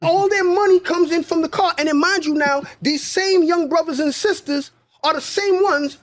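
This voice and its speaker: Podcast co-host, man, high pitch, emphatic and repetitive. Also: moderate pace. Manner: emphatic, preachy, repeats phrases for stress. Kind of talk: casual podcast conversation.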